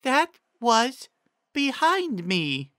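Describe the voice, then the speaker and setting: Slow, high-voiced earnest pony mare acted by a man. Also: huge pitch swings, sharp tone, dry recording, clean studio sound, exaggerated voice. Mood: earnest, tired. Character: Ditzy Doo, earnest young female pegasus pony.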